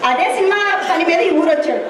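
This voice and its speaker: Woman playing a chatty high-voiced young woman, echoey hall. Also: animated, fast talker. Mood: chatty, explaining. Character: chatty, assertive young woman talking to her cousin. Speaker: stage actor. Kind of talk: Telugu stage play acting. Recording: echoey hall from far off, music, crowd noise.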